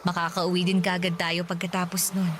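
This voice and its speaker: A woman playing a caring mother in a radio drama, tender and reassuring but gently firm. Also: fairly low pitch, completely flat delivery, brisk, close to an everyday voice. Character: caring, reassuring mother of two young sons. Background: music.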